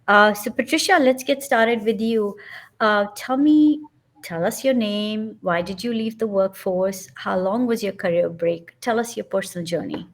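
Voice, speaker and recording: A woman's voice: medium pitch, measured pace. Upbeat woman hosting an online panel. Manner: upbeat, inviting. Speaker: panel host.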